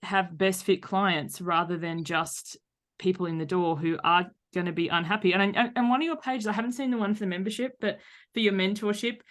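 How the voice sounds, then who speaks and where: A woman's voice: medium pitch, brisk. A woman hosting a podcast in casual conversation, enthusiastic and anecdotal. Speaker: podcast host.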